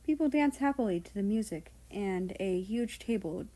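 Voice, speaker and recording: A woman narrating fan fiction aloud in an expressive amateur audiobook style. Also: mid-range voice, moderate pace, rough recording.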